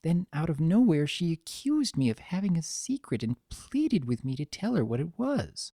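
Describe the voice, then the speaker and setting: A woman voicing a gentle, anxious young female cartoon pony, earnest, soft and fretful. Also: low voice, very animated, moderate pace, no room sound, clean studio sound, voice pushed up, exaggerated voice. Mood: earnest, puzzled.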